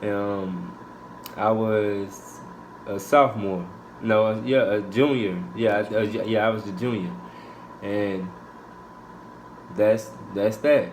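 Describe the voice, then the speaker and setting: A man's voice: lowish voice, slow, long pauses, darkish tone, a little hiss or hum, rough recording. Young man, laid-back, hesitant, casual q&a chat. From Houston, Texas. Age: 20s. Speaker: co-host of a couple's channel.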